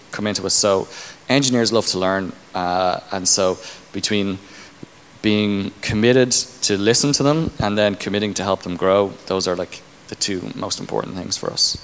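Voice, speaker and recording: A man in his thirties with a fairly low voice speaks informally on a conference stage. His handheld mic picks up the hall's reverb and some room noise. He explains things in a loose, halting way. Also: a bit bright. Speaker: tech company engineering leader being interviewed. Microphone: handheld microphone. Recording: echoey hall, clear background room noise.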